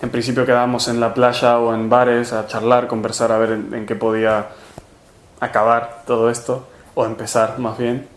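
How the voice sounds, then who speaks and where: A man's voice: medium pitch, little pitch movement, normal speed, echoey room. A man from Argentina with an Argentine Spanish accent, answering interview questions with many fillers and restarts. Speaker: movement organizer.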